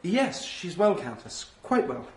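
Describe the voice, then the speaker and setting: An actor playing an earnest, awkward young aristocratic officer, formally polite and hesitant, in a radio drama. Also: male voice, on the high side, sing-song, a little slow, roomy sound, a little hiss or hum. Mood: flustered.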